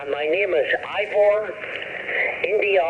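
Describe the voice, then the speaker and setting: A man's voice: high-pitched, a little slow. A man with a Northern Irish accent chatting casually as an amateur radio operator, heard through a radio link. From Northern Ireland. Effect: radio transmission. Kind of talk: casual radio contact chat. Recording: tinny, noisy shortwave radio link.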